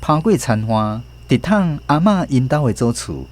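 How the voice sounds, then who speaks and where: A man's voice: mid-range voice, very animated, measured pace. A man with a Taiwanese accent narrating a radio drama.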